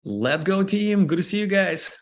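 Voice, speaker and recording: A man, hosting a casual interview discussion over a video call, upbeat and energetic. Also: high-pitched, normal speed, heavy background noise. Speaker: host, bootcamp founder.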